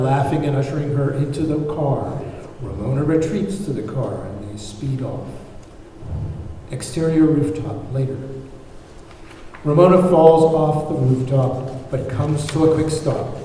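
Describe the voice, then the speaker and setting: A man's voice: mid-range voice, warm tone. A man narrating the stage directions of a script at a table read. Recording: very echoey hall, far mic, faint noise.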